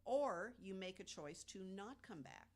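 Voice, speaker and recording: A woman in a casual podcast discussion, stating her views in a measured, firm way. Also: mid-range voice, lively intonation.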